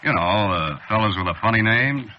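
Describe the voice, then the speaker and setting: A sly, easygoing bartender, voiced by an actor in an old radio thriller, chatting in folksy, loose grammar with a lively rise and fall. The broadcast sound is dull and lo-fi, with a faint hiss behind him. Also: male voice, lowish voice, a little fast, close to an everyday voice. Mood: sly, chatty. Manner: folksy, colloquial grammar, chuckling. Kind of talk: 1940s radio drama acting.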